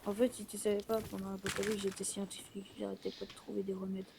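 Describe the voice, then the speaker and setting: Woman, even intonation, informal halting delivery, improvised game roleplay, clearly noisy amateur recording. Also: mid-range voice. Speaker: player in a Fortnite zombie roleplay. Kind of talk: improvised roleplay chat while playing a video game. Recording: noisy amateur home microphone.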